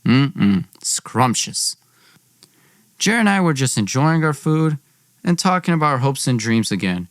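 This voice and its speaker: Young male podcast storyteller, informal and wry, medium pitch, close dry sound, dramatic delivery. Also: big pitch swings, unhurried, dry recording. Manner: informal, wry, acts out dialogue. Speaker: podcast host.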